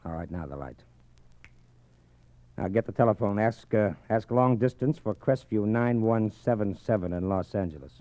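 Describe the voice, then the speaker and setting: An actor playing a sullen, tense killer on the run in a 1940s radio suspense drama, with a curt, commanding manner. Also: male voice, lowish voice, normal speed, warm tone, lo-fi sound, close to an everyday voice. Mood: curt menace. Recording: faintly noisy 1940s radio disc.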